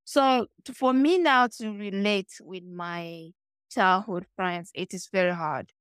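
A middle-aged woman from Cameroon with a Cameroonian accent, talking as an interview guest and telling stories with animated acted-out dialogue. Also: mid-range voice, slow pace, clear, resonant tone, no echo, studio quality. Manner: animated storytelling, acts out quoted dialogue. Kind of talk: conversational interview.

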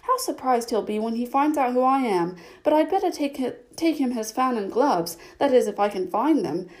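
Storybook heroine, played by a woman: young girl, high voice, polite, eager, earnest and tender-hearted. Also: expressive, normal speed, a little mellow, natural voice. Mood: surprised. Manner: earnest, pleading.

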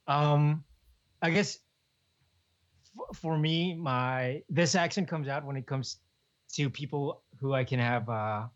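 Young man, casual podcast co-host, slightly high voice, dull lo-fi sound. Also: slow, long pauses. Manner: relaxed, chuckling, many restarts and "man". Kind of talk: casual chat.

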